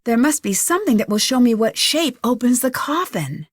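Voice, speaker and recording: Young girl detective for a video game, earnest musing out loud, higher voice, really lively pitch, dry studio sound. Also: moderate pace, husky, no room sound. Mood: focused, pondering. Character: inquisitive, level-headed young female amateur detective. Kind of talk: game voice lines, thinking aloud.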